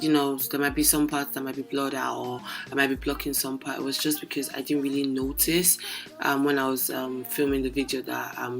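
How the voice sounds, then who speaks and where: A young woman makeup artist with a hoarse, lost voice, narrating a tutorial with many hesitant ums. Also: a bass voice, fairly bright tone. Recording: music playing underneath.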